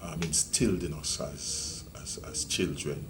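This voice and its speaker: Retiring police officer, Jamaican man in his sixties, deep voice, unhurried storytelling, noisy room. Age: 60s. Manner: measured, reminiscing, with many ums and restarts. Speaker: retiring police deputy commissioner. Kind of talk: reflective interview storytelling.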